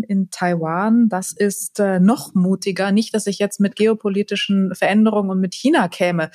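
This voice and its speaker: A woman's voice: medium pitch, darkish tone, no room sound, studio quality. A woman interviewing a guest, asking friendly questions with some hesitant fillers. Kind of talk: podcast interview.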